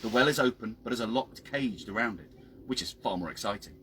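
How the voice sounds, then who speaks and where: A man reading his own story dramatically outdoors, with measured, hushed and suspenseful delivery. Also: fairly low pitch, lively intonation, slight background noise. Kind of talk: dramatic scripted story narration. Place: outdoors by a town well.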